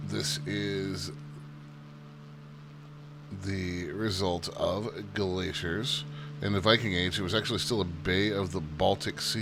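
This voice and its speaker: A low-pitched man talks through a flight sim session in an easygoing, chatty tour-guide manner, joking at his own expense. A constant hum runs beneath the recording. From United States. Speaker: flight sim channel host. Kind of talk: casual gameplay commentary. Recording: steady background hum.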